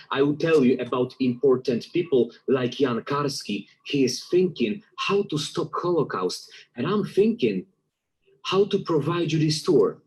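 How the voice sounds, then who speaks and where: A man's voice: mid-range voice, darkish tone, rough recording. A man from Poland, a tour guide speaking earnestly in a promotional video.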